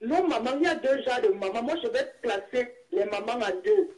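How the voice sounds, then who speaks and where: A woman's voice: a little high, animated, fairly quick, a little mellow, low-quality recording. A woman calling in to a talk show, speaking casually and emphatically as she addresses people directly. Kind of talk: casual chat and advice.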